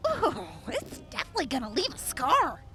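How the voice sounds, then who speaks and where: A woman playing a brash, tough, boisterous fighter and mentor in an audio drama, blunt and quick to swear. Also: high-pitched, wildly swooping pitch, over music. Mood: pained, amused.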